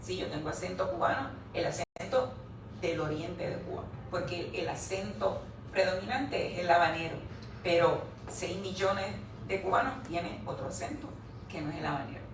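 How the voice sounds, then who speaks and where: Gravelly woman speaking in an echoey room. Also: on the low side, expressive, normal speed. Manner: standing and addressing the audience, explanatory. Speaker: researcher presenting her book chapter. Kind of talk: academic talk at a book presentation. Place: room at a live presentation event. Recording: echoey room, distant mic, background noise.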